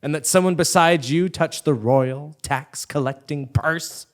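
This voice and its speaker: A man's voice: a little high, measured pace, close to an everyday voice. A man playing an exasperated, defiant commoner who leads a scheme, emphatic and incredulous, in a comic stage audio play. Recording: live theatre stage recording.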